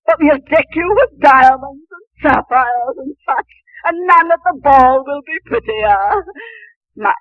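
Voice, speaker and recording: A woman's voice: high voice, a little slow, dry recording. An actress playing a cold, bossy middle-aged stepmother who scolds imperiously in sing-song rhyme, in 1950s radio drama style. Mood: mocking, scheming. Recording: dull, dry 1950s radio recording.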